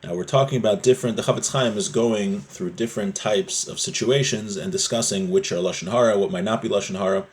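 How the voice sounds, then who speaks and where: A man's voice: on the low side, a little fast, hardly any pauses. A man giving a religious lesson as a teacher, reading Hebrew phrases and explaining them in a conversational, explanatory way. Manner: conversational, explanatory, often checking with "right?".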